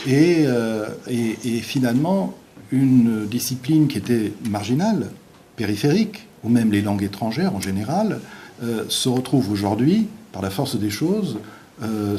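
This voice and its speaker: A male visiting university lecturer, a native Portuguese speaker, giving an academic lecture in a halting way with many restarts and fillers. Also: mid-range voice, dark tone, a little hiss or hum.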